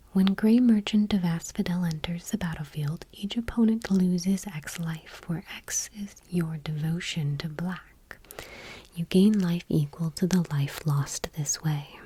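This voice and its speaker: A woman doing gentle ASMR, reading aloud in a whispery, breathy, deliberate voice close to the microphone. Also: on the low side. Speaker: ASMR video creator. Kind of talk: soft-spoken ASMR, reading trading cards aloud. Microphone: close microphone, dry.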